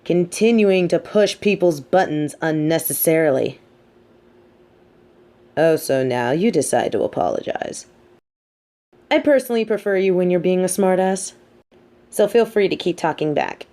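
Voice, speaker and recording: A woman playing a sardonic, teasing supervillain with a hidden soft side, talking straight to the listener in an audio roleplay with theatrical, mocking emphasis. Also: on the low side, animated, normal speed, pauses often, natural voice. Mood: teasing, amused. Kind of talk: scripted audio roleplay monologue spoken to the listener.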